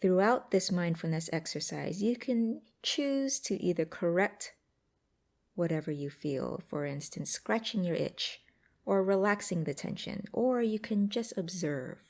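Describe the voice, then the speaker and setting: A woman leads a guided meditation in a lowish, mellow voice. Her speech has a noticeable creak. She gives each instruction gently and without hurry, leaving space between phrases. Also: lively intonation, a little slow. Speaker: mindfulness exercise guide.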